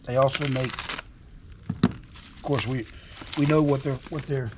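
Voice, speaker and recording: Hoarse man, casual and eager show-and-tell, noisy outdoor take. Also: medium pitch, steady tone, unhurried. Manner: enthusiastic, repetitive, folksy. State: hoarse from illness. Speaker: trucking vlogger. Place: outdoors at a house.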